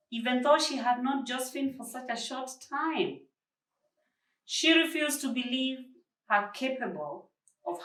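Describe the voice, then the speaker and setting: Woman reading a storybook in an echoey room. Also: on the high side. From Kenya. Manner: deliberate, expressive phrasing. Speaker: volunteer story reader. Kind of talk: children's book narration read aloud. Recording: echoey room, slightly distant microphone.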